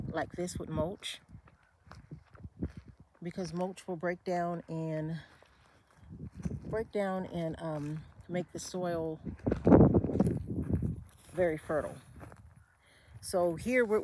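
Low-voiced woman touring her garden. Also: heavy background noise. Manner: relaxed, unhurried, with fillers and self-corrections. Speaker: homesteader giving a garden tour. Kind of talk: casual walk-through narration. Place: outdoor desert garden.

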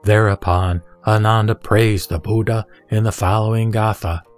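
A man reading a Buddhist scripture as formal, deliberate, solemn narration. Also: lowish voice, steady tone, faint music behind.

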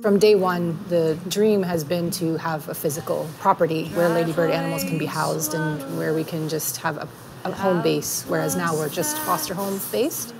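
A woman's voice: low voice, fairly even intonation, normal speed, creaky, poor audio. A woman with a Canadian accent, a rescue co-founder, speaking emphatically in a seated interview. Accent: Canadian English. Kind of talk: fundraising appeal interview. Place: indoor kitchen table interview. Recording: music bed under speech.

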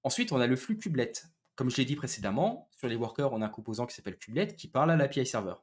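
Guy in his 30s doing an informal tech talk on a headset, explains stuff with lots of ums, medium pitch. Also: animated, rapid-fire. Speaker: technical presenter. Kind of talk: informal technical presentation with slides. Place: small room indoors, video call.

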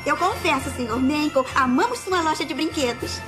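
A Brazilian woman voicing a bright, inquisitive young woman detective in a dubbed cartoon. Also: sits high, animated, normal speed, over music. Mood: warm. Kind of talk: animated cartoon dubbing.

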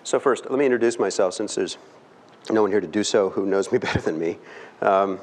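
A middle-aged man from California giving an earnest, emphatic lecture with pauses and fillers, recorded by a far camera in a large lecture hall. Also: lowish voice. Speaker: guest speaker. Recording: long hall reverb.

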